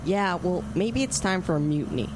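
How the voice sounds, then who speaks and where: A woman's voice: fairly low pitch, expressive, moderate pace, background noise, natural voice. A young female actor playing a stubborn, earnest kid adventurer in an audio drama.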